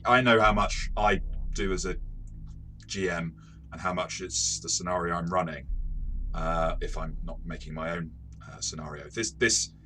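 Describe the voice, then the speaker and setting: A man with a very low voice and a bright, forceful tone chats casually about tabletop gaming. He speaks as a reviewer and game master, jokey and often reworking his phrases as he goes. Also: fairly even intonation, normal speed, a little hiss or hum. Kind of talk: casual two-person review chat.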